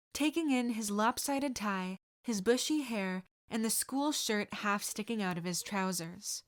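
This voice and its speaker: A woman reading fan fiction aloud as an audiobook narrator, steady and expressive. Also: medium pitch. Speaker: podfic reader.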